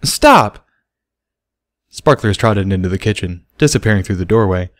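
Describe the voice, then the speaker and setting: A man's voice: low-pitched, fairly quick, a little mellow, dry recording. A man reading a story aloud as scripted narration. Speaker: audiobook reader.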